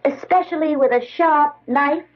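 A woman's voice: sits high, normal speed, close to an everyday voice. An actress playing a chatty woman with a lightly macabre air, in 1940s radio drama style. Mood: brightly macabre. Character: chatty woman musing lightly about murder. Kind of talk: 1940s radio horror acting. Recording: muffled old radio recording.

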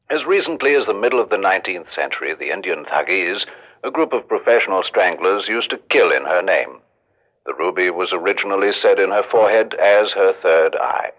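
An actor playing a recorded museum guide in a radio drama, narrating formally with measured, grandiose solemnity. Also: male voice, low voice. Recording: dull old radio recording.

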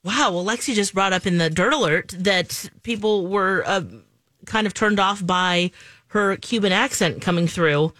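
A woman's voice: medium pitch, a little slow, a bit bright, no echo. A woman co-hosting a casual morning radio show, chiming in with short, reactive interjections.

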